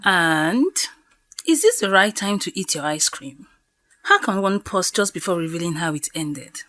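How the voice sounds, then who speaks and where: A woman's voice: fairly low pitch, expressive, unhurried, a bit bright, faint music behind. An actress playing an impatient, teasing young woman among friends in an audio drama, wry and emphatic.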